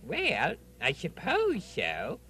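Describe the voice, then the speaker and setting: A man's voice: high-pitched, wildly swooping pitch, drawn-out words, pushed and bright, husky, full character voice. A man voicing a mild, good-natured, folksy puppet fellow in a children's show, speaking hesitantly. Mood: puzzled. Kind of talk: children's television puppet play.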